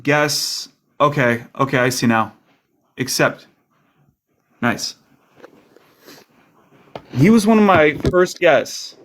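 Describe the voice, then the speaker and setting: A man's voice: on the high side, animated, slow pace, pauses often, a bit bright, slight background noise. Easygoing man hosting a livestream. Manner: rambling, easygoing. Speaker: livestream host. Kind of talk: casual chat.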